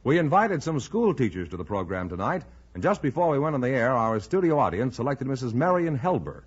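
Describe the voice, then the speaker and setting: Showy vintage American radio announcer, lively male voice. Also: mid-range voice, a little fast, breathy edge. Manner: formal, showy projection. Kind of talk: 1940s-50s radio show announcing. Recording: muffled vintage radio broadcast, music behind.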